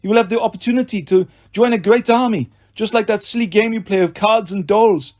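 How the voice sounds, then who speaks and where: A man's voice: high-pitched, fairly quick, darkish tone, pitched above the performer's own voice, natural voice. A man voicing a menacing, smug monster villain who talks in a formal, taunting way for a story. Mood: ominous.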